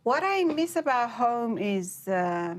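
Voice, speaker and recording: A young woman from Iran, answering interview questions as a guest, with many pauses and fillers. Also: a little high, sing-song. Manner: hesitant, many fillers and restarts. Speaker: PhD researcher, guest.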